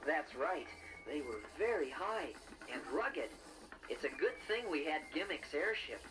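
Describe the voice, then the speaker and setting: An actor voicing a friendly, earnest young bear who gently narrates a children's read-along adventure. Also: mid-range voice, sing-song, a little slow, low-quality recording. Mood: adventurous storytelling. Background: music.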